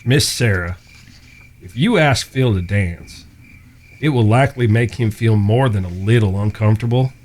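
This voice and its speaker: An actor in a western audio drama playing a teasing younger brother, an Old West cowboy with a folksy, mischievous way of talking. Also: male voice, fairly low pitch, normal speed, darkish tone, close to an everyday voice. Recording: background music.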